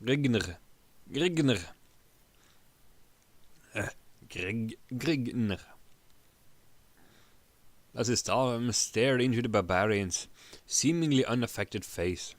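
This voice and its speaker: Halting male narrator, dry close sound. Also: medium pitch, lively intonation, no echo. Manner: halting, stumbles over words. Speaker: narrator, streamer. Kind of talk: dramatic story reading.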